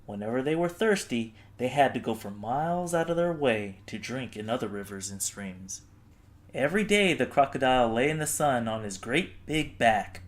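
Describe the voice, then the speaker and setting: A man narrating a children's storybook expressively, with dramatic, deliberate phrasing. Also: medium pitch. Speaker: storybook reader.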